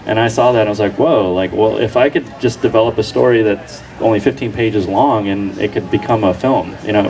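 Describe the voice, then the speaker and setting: Male comics creator on an outdoor panel, low voice, hesitant, off-mic. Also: a little mellow, far from the mic, low-quality recording. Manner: halting, many ums and restarts. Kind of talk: panel discussion answer. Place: outdoor book fair stage in a park.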